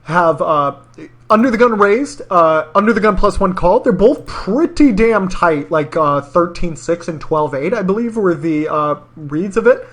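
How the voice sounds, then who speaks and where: Male poker player, fairly high voice, casual hand breakdown. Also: expressive, normal speed, a bit bright. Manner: informal, thinks aloud through numbers.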